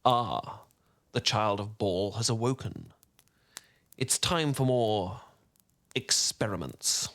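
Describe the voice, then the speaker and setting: A man's voice: fairly low pitch, fairly bright tone. A man voicing a powerful sorcerer villain with cold, menacing disdain, inside a fantasy bedtime story. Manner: slow, contemptuous, menacing.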